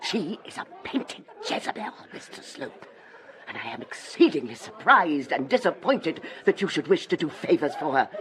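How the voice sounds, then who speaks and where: An actress playing an imperious, puritanical English bishop's wife in a period radio drama, haughty and scolding in formal diction. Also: female voice, low voice, big pitch swings, moderate pace, crowd noise. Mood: scandalised, reproving. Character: imperious, puritanical middle-aged bishop's wife, indignant and domineering.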